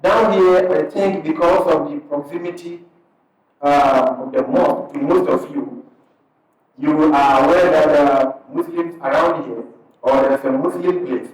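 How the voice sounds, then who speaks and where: A man from Ghana with a Ghanaian accent, an imam speaking to a gathered audience in a conversational, unhurried way, breaking his sentences into short pieces. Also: fairly high pitch. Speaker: imam and parish missionary. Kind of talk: addressing an audience at a community event. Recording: very echoey hall, speaker far from the mic.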